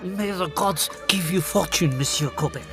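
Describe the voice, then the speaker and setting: An actor playing a sly, flattering market trader in Egypt in an audio drama, with creaky, wheedling sales patter. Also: male voice, high voice, moderate pace, over music.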